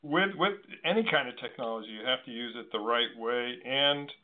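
A man moderating a discussion in a halting, informal, conversational way. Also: medium pitch. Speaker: host and moderator. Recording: thin phone-line audio.

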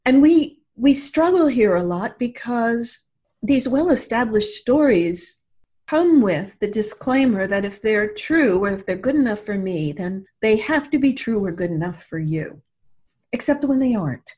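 A woman explaining her ideas in a reflective interview style, speaking earnestly and deliberately, with emphatic repeated phrasing. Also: on the high side, lively intonation, mellow. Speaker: author and podcast guest. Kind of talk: reflective explanatory talk about own ideas. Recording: dull, lo-fi audio.

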